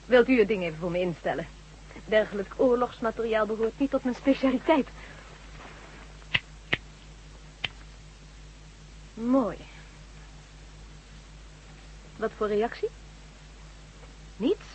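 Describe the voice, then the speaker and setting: A woman's voice: fairly high pitch, a little mellow, a little hiss or hum, natural voice. An actress playing a composed, precise female neurologist in a Dutch radio drama, formal and coolly sceptical. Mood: clinical. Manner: formal, measured, explanatory. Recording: muffled, humming old radio recording.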